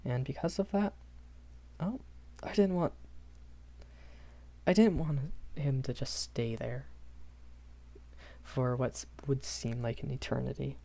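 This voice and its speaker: A man's voice: fairly high pitch, gravelly, warm tone. A young man playing a shy, kindly magic academy professor in a rambling audio roleplay, stammering and hesitant. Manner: hesitant, stammering, nervous chuckles, apologetic.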